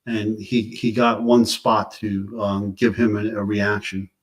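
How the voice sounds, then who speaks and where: A man explaining details deliberately in a courtroom discussion, correcting himself as he goes. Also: lowish voice, level tone, a little hiss or hum. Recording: courtroom playback on live stream.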